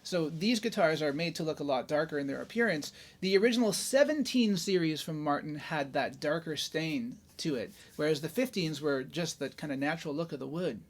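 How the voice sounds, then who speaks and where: A man's voice: on the high side, expressive, a little fast, few pauses. A man hosting a casual guitar review, chatty and enthusiastic, with lots of fillers and tag questions.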